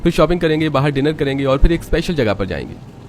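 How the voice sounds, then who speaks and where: A man plays an eager young husband in a cartoon family story. His voice sits high and moves along quickly, with an affectionate, upbeat manner. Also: animated, natural voice. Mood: cheerful, caring. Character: excited young husband and son, cheerful and affectionate.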